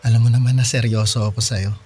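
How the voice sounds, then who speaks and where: An actor in a Tagalog radio drama playing a shy, earnest young provincial suitor who speaks in a bashful, halting, tender way. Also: male voice, mid-range voice, fairly even intonation, darkish tone, natural voice. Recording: music playing behind the voice.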